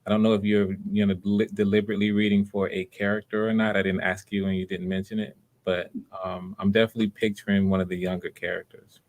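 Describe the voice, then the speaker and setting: Male audition host, low voice, casual and encouraging, repeats himself. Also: little pitch movement, quick pace, hardly any pauses, darkish tone. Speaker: casting director hosting live voice auditions. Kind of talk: casual live audition chat.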